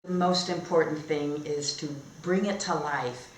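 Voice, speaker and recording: A woman teacher demonstrating read-aloud storytelling and instruction in simple, expressive phrasing. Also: deep voice, measured pace, a little hiss or hum. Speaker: teacher trainer. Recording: echoey room.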